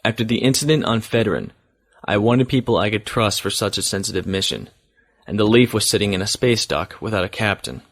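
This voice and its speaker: Low, dry-sounding starship captain. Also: male voice, dry recording. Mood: sober. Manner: measured, formal. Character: dutiful male starship captain recording his log. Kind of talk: audio drama monologue, log narration.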